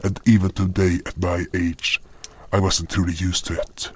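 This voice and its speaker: A man's voice: low-pitched, flat delivery, moderate pace, a little mellow, a little hiss or hum. A man reading a scripted first-person fantasy narration as the storyteller of an audio drama. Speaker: narrator and voice actor.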